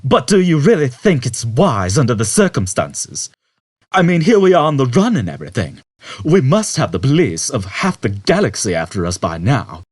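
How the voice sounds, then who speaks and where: Man doing a brash, irritable space rogue, pitched up. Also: huge pitch swings, moderate pace, slightly rough, no room sound. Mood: complaining. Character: brash, irritable, conceited male space fugitive.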